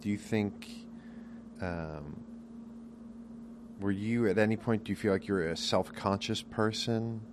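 A man hosting a podcast interview, asking relaxed, rambling questions. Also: lowish voice, a little slow, pauses often, background noise. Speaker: podcast host. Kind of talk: casual interview chat.

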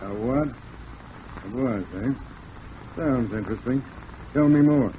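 An actor playing a dry, probing police detective in a 1940s style radio drama. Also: male voice, mid-range voice, slow pace, natural voice. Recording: muffled, noisy old radio recording.

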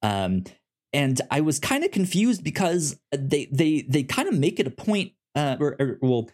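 A man's voice: fairly high pitch, sing-song, a little mellow. A young man co-hosting a casual podcast about comics, with lots of stammered restarts and fillers. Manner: halting, many restarts and fillers. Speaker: podcast co-host. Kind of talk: casual comic-book discussion.

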